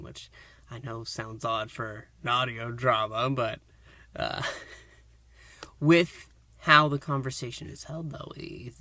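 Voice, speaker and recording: A man's voice: medium pitch, sharp tone, no room sound. A man hosting a review show, recapping and reviewing in a casual, earnest, enthusiastic fan style. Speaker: host of an audio drama review show.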